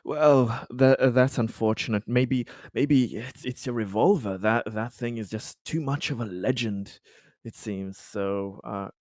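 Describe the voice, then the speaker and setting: A man's voice: medium pitch, measured pace, a little mellow, dry recording, studio quality. A man playing a tabletop roleplaying game, bantering in character in a hesitant, politely wry way.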